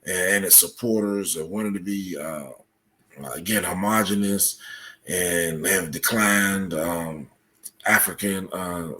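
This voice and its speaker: Male podcast guest, lowish voice, halting explainer delivering researched answers in conversational form. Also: a bit bright.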